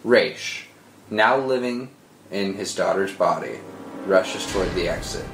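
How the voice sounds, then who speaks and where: A man's voice: low-pitched, measured pace, creaky, background noise. A man giving dramatic scripted narration, reading out a screenplay's stage directions. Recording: roomy sound with music underneath.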